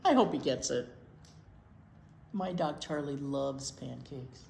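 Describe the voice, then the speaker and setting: A woman reading aloud to small children as a storytime teacher, with expressive, drawn-out delivery and playful asides. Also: very deep voice, gravelly. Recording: roomy echo, faint noise.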